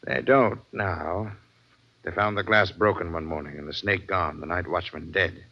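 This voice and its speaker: Gruff, low-voiced ship captain. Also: male voice, fairly even intonation, normal speed. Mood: ominous. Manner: gruff, terse, ominous. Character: stern, wary male ship captain. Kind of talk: 1940s radio drama acting. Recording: dull vintage radio recording.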